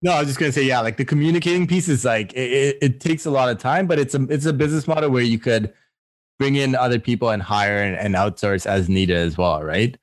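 A young married man co-hosting a casual podcast, talking in a relaxed, conversational way and often checking in with "right?". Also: mid-range voice, fast, dry recording. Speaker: podcast co-host.

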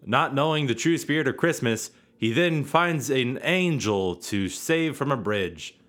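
A man's voice: medium pitch, lively intonation, measured pace, fairly bright tone. Sarcastic, foul-mouthed young man. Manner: sarcastic, swears freely, jokey. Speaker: podcast co-host. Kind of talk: casual chat.

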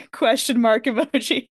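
A woman's voice: sits high, slow, slightly rough, no echo, studio quality. A woman improvising in role-play as a player in a tabletop game.

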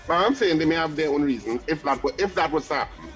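A man's voice: fairly high pitch, expressive, soft background music. A man co-hosting a breakfast radio show, conversational and questioning. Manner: conversational, questioning, drawn-out fillers. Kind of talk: casual studio banter. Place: radio studio.

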